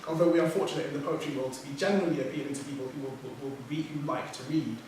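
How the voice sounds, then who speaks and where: A man who speaks English as his first language, reading a prepared talk as a poet on a writers' panel, measured, with small self-corrections. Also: on the high side, a little mellow. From Nigerian heritage, raised outside Nigeria. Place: theatre hall. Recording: echoey hall from far off, background noise.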